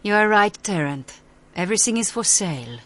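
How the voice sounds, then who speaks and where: An actress playing a shrewd woman dealer, terse and deliberate, in a radio drama. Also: medium pitch, expressive, fairly bright tone, natural voice. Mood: cool, shrewd.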